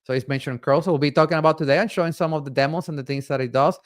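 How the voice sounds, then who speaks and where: A man from Puerto Rico hosting a casual tech live stream, talking in halting bursts with lots of fillers and restarts. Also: on the high side. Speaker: community host and guest presenter.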